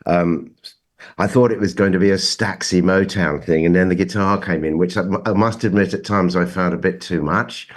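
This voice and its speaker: A man with a deep voice gives casual feedback as a music judge. He speaks in short, measured phrases, weighing what works against what does not. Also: steady tone. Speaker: music judge on a review panel.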